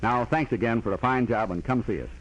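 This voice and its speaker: A man's voice: medium pitch, brisk. A man hosting a 1940s radio show with scripted announcements and banter. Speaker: radio show director and host. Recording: muffled 1940s radio recording.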